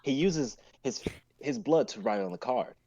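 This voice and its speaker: A man's voice: mid-range voice, lively intonation, normal speed, warm tone, dull audio. A young man narrating stage directions in a live amateur script reading. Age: young adult.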